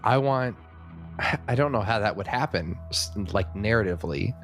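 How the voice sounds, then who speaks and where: Low-voiced man at a gaming table. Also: expressive, normal speed, fairly bright tone. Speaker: tabletop game player. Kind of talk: casual game-table talk. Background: faint music.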